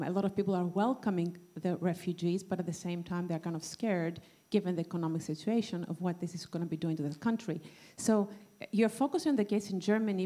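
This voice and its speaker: A woman from Greece speaks from the audience at a panel discussion. Her voice is fairly low and mellow, and her delivery is halting and thoughtful, as in an unscripted question. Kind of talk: spontaneous public question.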